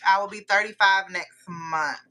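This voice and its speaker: A woman's voice: mid-range voice, moderate pace. A woman in her mid-thirties hosting a casual livestream, greeting viewers and chatting as she works. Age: 30s. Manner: lively, exclamatory, chats while working. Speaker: livestream host.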